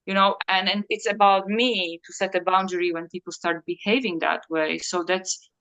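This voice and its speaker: A woman whose first language is Slovak, talking in a reflective interview and often pausing and searching for words. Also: lowish voice, fairly even intonation, sharp tone, rough recording. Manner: halting, searching for words, many fillers. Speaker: interview guest.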